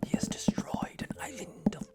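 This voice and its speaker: A woman hosting an ASMR live stream in a breathy whisper, chatting in a playful, whimsical, mock-dramatic way. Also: normal speed. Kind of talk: ASMR chat with live audience. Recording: loud music under the voice. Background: music.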